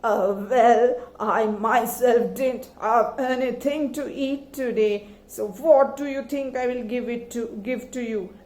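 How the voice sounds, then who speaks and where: A woman's voice: on the high side, takes it slowly, hardly any pauses, darkish tone, reverberant. A woman voicing a miserly, suspicious old granny in a children's folktale, exclaiming and questioning. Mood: evasive.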